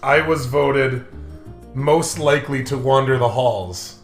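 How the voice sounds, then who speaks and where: A young American man chatting casually as a game co-host, telling rambling stories with sarcastic, dark humour. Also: mid-range voice, slow pace. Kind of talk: casual joking chat, storytelling. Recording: roomy echo, faint music behind.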